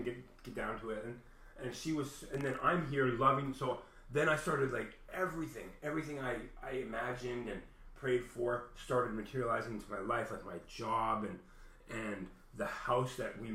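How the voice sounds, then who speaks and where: A man's voice: medium pitch, fairly bright tone. A man with a big voice telling a personal story in a rambling, agitated, heavily profane and casual way. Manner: profane, heavy swearing, agitated retelling. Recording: echoey room.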